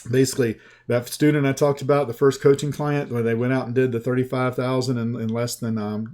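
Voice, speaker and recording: A man with a Southern US accent, speaking as a sales coach in a relaxed, folksy webinar pitch. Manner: slow, folksy, conversational with restarts.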